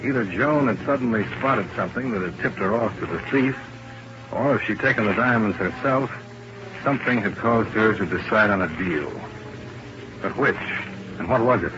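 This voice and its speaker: A man's voice: lowish voice, moderate pace, natural voice. A man playing a cool, tough insurance investigator, clipped and sardonic, in 1950s radio detective style. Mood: pensive. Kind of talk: 1950s American radio detective acting and narration. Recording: dull, noisy vintage radio recording.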